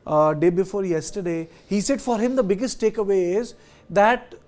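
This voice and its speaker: Halting, emphatic Indian-accented male political analyst. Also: high voice, a little mellow. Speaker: journalist panelist.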